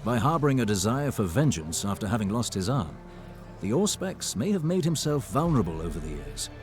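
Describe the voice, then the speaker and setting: A man playing a grave, analytical advisor in a fantasy game, measured and serious. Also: on the low side, big pitch swings, music in the background. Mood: grave concern.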